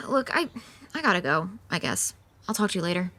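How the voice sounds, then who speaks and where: A woman's voice: on the low side, very animated, normal speed, bright, forceful tone, exaggerated voice. An actress playing a dry, sarcastic young woman mortician who sees monsters, in a horror audio drama. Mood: irritated, bewildered. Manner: dry, sarcastic, blunt, swearing. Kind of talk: audio drama acting with confiding asides.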